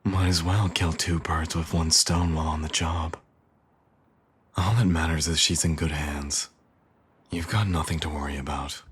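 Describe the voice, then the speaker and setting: A man's voice: steady tone, moderate pace, a bit bright, a little hiss or hum. A man playing a smug, seductive villain and doting father in an intimate ASMR roleplay, murmuring in a teasing, sly way. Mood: soothing, playful. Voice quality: husky, very deep, breathy at times.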